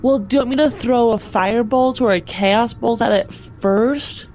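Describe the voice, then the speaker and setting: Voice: mid-range voice, normal speed, darkish tone, slight background noise. A player talking casually during a tabletop role-playing game.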